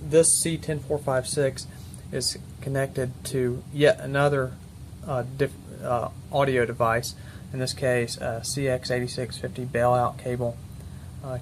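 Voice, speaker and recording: A man with a mid-pitched voice talks through technical equipment as a presenter, off the cuff and step by step. His delivery is matter-of-fact and exact about detail. There is noticeable background noise in the recording. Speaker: presenter demonstrating military intercom equipment. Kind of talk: unscripted technical walkthrough.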